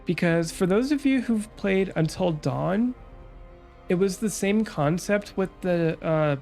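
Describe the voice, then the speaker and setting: A young woman VTuber chatting calmly about her stream plans, her nose stuffed up from a cold, with lots of fillers. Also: on the low side, a little slow, vocal fry, faint music behind. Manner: calm, relaxed, rambling with many fillers. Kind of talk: casual stream chat.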